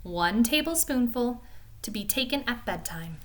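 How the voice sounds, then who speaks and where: A woman reading a children's storybook aloud in a gentle, expressive storytelling manner with dramatic pauses. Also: a little high, slight background noise. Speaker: storybook reader.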